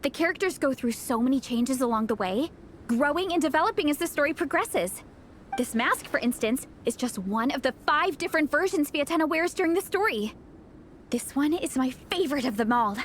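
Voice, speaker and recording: Game casting: devoted young fangirl, earnest and enthusiastic, very high animated voice, music underneath. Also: brisk, slight background noise. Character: eager, earnest young girl, devoted storybook fan and club founder. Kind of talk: video game voice acting. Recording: music behind the voice.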